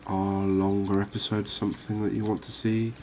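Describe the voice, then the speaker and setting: A man giving relaxed, unhurried, musing ASMR-style gameplay commentary, chatting to his viewers. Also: fairly low pitch, a little hiss or hum. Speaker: host of a cozy fishing game channel.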